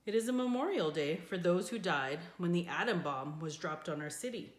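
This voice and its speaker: A woman voicing a firm, caring mother in a children's story, speaking in a measured way. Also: fairly low pitch, lively intonation, lightly acted. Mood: stern, reproving. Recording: echoey room.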